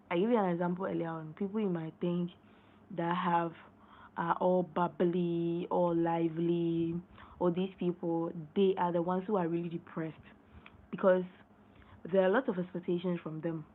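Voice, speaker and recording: A young woman hosting a casual late-night live stream, hoarse and losing her voice, rambling and repeating herself as she clears her throat. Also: fairly low pitch. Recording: tinny phone-line sound, faint noise.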